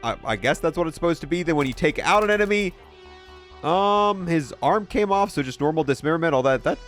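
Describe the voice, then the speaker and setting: Male game reviewer, highish voice, animated intonation, informal and wry. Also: brisk, soft background music. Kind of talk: casual gameplay commentary.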